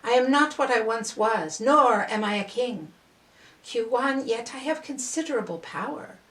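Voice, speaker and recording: A woman voicing a curious, tender sea dragon in mermaid form, speaking in a gentle, questioning way for a storybook. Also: fairly high pitch, expressive, moderate pace, distant mic. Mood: tender, earnest. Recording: roomy, echoing voice recording.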